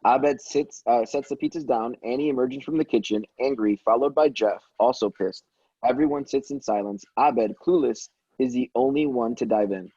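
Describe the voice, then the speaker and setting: A man narrates a screenplay, reading out its scene headings and actions. His voice is dark and mellow at an average pitch. The recording is dry and somewhat muffled. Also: normal speed, husky, no room sound. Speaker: stage-direction reader. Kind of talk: scripted narration, screenplay read aloud. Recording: dull lo-fi audio.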